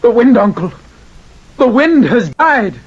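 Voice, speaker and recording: An actor playing an innocent young sailor nephew, earnest and wide-eyed, in old-time radio drama style. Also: male voice, extremely high pitch, big pitch swings, takes it slowly, slightly rough, heavily acted. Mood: dismayed. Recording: muffled, faintly noisy vintage radio recording.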